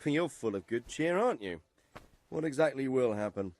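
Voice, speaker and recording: An actor playing a cautious, protective medieval nobleman in a video game, measured and firm. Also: medium pitch, expressive, darkish tone, natural voice. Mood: dry, questioning. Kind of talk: video game cutscene acting.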